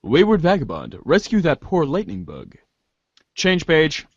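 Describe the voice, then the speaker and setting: A man's voice: on the high side, wildly swooping pitch, unhurried, no echo, lo-fi sound. A man narrating a webcomic aloud in a theatrical way, stumbling and restarting as he reads. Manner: theatrical, often stumbles and restarts while reading. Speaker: narrator in a fan readers' theater show. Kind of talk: dramatic read-aloud of a webcomic.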